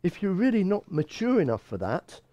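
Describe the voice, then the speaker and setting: A man's voice: high-pitched, wildly swooping pitch, moderate pace, mellow, no room sound. A man in his sixties giving an expository Bible teaching sermon as a pastor, emphatic and given to repeating phrases. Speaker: bishop and pastor. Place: small church room, indoors.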